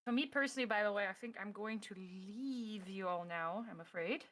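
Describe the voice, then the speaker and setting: A woman guest on a casual livestream, a voice actor by trade. She talks with bright enthusiasm, thanking people warmly and plugging her channel. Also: medium pitch, a little fast. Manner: upbeat, gushing thanks and sign-off.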